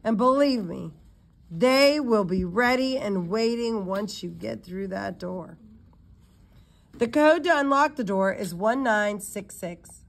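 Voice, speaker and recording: A woman's voice: medium pitch, slow, creaky. A woman voicing a chummy, wry British man in a storybook, confiding and playful as he explains things. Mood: matter-of-fact. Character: chummy, wry British man showing off his secret-equipped hotel.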